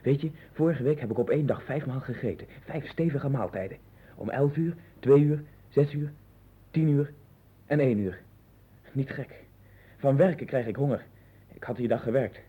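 Restless, obsessive man, played by a male actor, husky, Netherlands accent, rambling and insistent; 1960s radio play, tinny narrow-band sound. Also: medium pitch, moderate pace, lightly acted. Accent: Netherlands Dutch. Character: restless, obsessive man sinking into a strange inner world. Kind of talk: 1960s Dutch radio drama acting.

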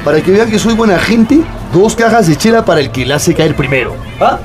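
An actor plays a cocky Peruvian boss in a radio drama. His bossy, slangy talk is high and very animated, with music and street sounds behind. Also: brisk. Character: cocky crude boss egging on his workers.

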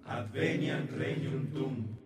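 A man's voice: medium pitch, slow, soft background music, natural voice. A man playing a devout worshipper reciting a solemn response prayer in a radio drama.

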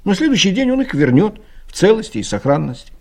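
A man's voice: sits high, very animated, fast talker. A man reading scripted literary narration for an audio play, telling the story expressively.

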